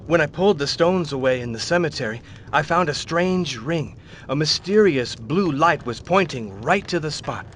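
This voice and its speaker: A curious game hero, level and inquisitive. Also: male voice, a little high, animated, moderate pace, fairly bright tone, a little hiss or hum. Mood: plain. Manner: level, inquisitive, plain delivery. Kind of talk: dubbed game cutscene acting. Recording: game audio with music behind.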